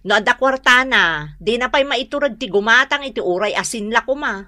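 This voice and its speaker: A woman's voice: on the high side, animated, fairly quick, sharp tone, no room sound, studio quality. A woman with an Ilocano accent playing a sensible, practical sister who speaks earnestly in a radio drama. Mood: disapproving. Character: sensible sister, practical and protective, advising her family.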